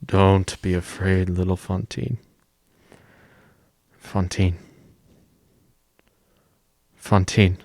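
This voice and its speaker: A gentle fugitive convict in a radio drama, played by a man, protective and dignified. His voice is low and recorded very dry, with an earnest, pleading delivery. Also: flat delivery, very slow, vocal fry, pauses often, no room sound, full character voice. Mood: tender, soothing.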